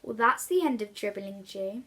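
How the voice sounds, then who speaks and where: A woman reading a children's story aloud with expressive, dramatic emphasis. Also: medium pitch, normal speed. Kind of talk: animated read-aloud storytelling for young children.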